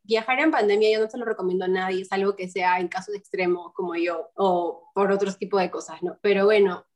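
A woman from Peru in her twenties, telling her story in an animated, chatty way during an interview. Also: medium pitch, fairly quick. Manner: animated, storytelling with quoted voices. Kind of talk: casual interview chat.

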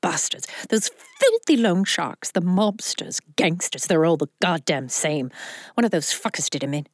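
Flamboyant rich old widow, swooping delivery. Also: female voice, lowish voice, very animated, moderate pace, no room sound, clean studio sound. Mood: bitter, vengeful. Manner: theatrical, grand, flirtatious drawl. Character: flamboyant, lusty, vengeful wealthy widow in her seventies. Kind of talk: audio drama acting.

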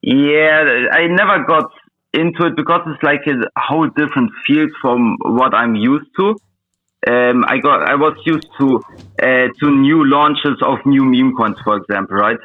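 A man whose first language is Russian, chatting casually as an interview guest, halting and searching for words in English. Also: mid-range voice. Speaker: interview guest, meme coin trader. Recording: thin phone-like voice-call audio.

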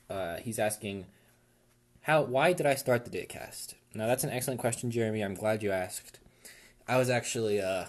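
A man hosting a comic podcast with deadpan, mock-formal delivery and many fillers. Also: fairly low pitch. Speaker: podcast host.

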